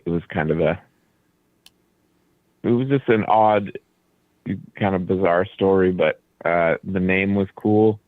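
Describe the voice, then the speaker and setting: A man whose first language is Croatian talks easily as a radio guest, rambling through long explanations with plenty of fillers. His voice sits very low. The sound is dry and narrow, like a phone line. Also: dry recording. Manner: relaxed, explains at length with fillers. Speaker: band leader and studio guest. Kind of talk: casual radio interview.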